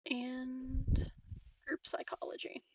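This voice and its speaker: A woman's voice: high-pitched, monotone, slow pace, darkish tone, dry recording, distant mic. A woman chatting casually as a player in a tabletop roleplaying game.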